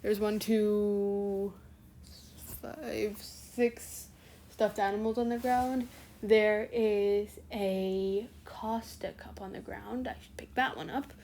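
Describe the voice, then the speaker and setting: A woman's voice: medium pitch, fairly even intonation, slow, warm tone, slight background noise. A teenage girl hosting her own channel, chatting casually to viewers in a rambling way with lots of fillers and self-corrections. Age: teens. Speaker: fanfiction channel host.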